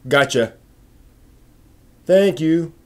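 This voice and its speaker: A man's voice: a little high, expressive, drawn-out words, darkish tone. A man hosting a live stream, chatting casually with viewers in an informal, rambling way as he thinks aloud.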